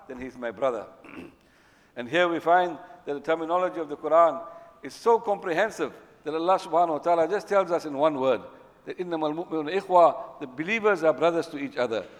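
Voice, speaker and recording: Fast-talking male preacher in an echoey hall. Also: on the high side. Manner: emphatic, repeats phrases for stress. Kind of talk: religious sermon. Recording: echoey hall with long reverb.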